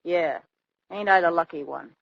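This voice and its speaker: An American actress playing a bored, flirtatious and sardonic boss's wife in a 1940s radio drama. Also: female voice, fairly low pitch, normal speed, gravelly. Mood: wistful, bitter. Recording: dull vintage 1940s radio broadcast.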